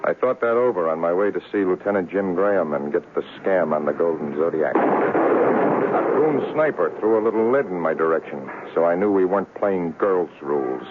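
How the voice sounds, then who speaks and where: An actor playing a tough, cocky private investigator in a 1970s radio drama, talking in clipped slang. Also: male voice, low voice, a little hiss or hum. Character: tough hard-boiled male private investigator, cynical and cocky. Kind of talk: 1970s radio drama acting, noir narration. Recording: muffled old radio broadcast.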